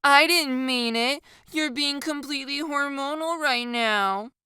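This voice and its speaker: A woman voicing a playful, excitable young woman with a bubbly, teasing, theatrical manner in an audiobook story. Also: high voice, a little slow, no room sound, clean studio sound, voice pushed up. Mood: mock-pleading melodrama. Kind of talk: audiobook character dialogue.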